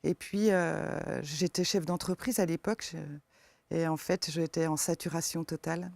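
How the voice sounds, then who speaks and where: A woman from eastern France with a slight eastern French accent, answering interview questions as a forest therapy guide, soft-spoken and hesitant with many fillers. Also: low-pitched, steady tone, measured pace, creaky, no room sound.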